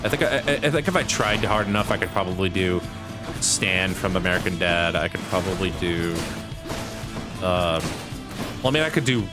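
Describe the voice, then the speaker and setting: A man with a somewhat low voice chats loosely while he plays, circling his points and poking fun at himself. Game music runs clearly under his talk. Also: lively intonation, moderate pace. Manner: rambling, many fillers and restarts, self-deprecating. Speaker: streamer. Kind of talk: casual chat while gaming.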